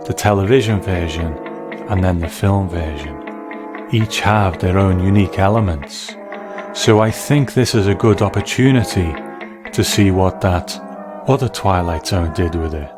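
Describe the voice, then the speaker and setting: A man with a very low-pitched voice presents a review podcast. He talks in a considered, reflective way, weighing his points as commentary. Faint music plays behind him. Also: moderate pace. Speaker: podcast host.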